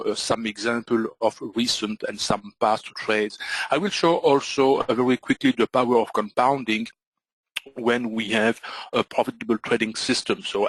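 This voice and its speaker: A man's voice: mid-range voice, fairly bright tone, dry recording, low-quality recording. Belgian man presenting, full of fillers. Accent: Belgian French. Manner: halting, many "uh" and "so" fillers. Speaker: trading instructor. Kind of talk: webinar presentation.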